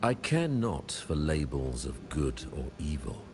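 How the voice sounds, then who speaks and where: An actor playing a stern, menacing lord in a video game, speaking gravely and formally. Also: male voice, rumbling low, big pitch swings, a little slow, exaggerated voice. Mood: cold authority. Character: stern, menacing male lord obsessed with order and chaos. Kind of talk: dramatic video game acting. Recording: loud music behind the voice. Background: loud game music.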